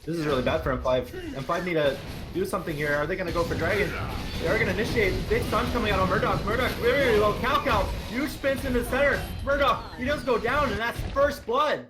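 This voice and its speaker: A man co-commentating a live esports match with quick analysis that turns hyped on big plays. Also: high-pitched, music in the background. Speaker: esports caster. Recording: noisy live stream mix.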